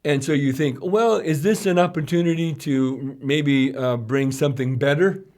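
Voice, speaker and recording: A man's voice: on the high side, normal speed, a little mellow. A man co-hosting a podcast, giving conversational current-affairs commentary in an explanatory way, repeating phrases for emphasis. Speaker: podcast co-host.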